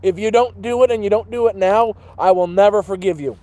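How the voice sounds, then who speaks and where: A man's voice: high voice, a little fast, dark tone, dry recording. A man in his thirties chatting casually to his livestream chat outdoors by a campfire, rambling and swearing freely. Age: 30s. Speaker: livestream host.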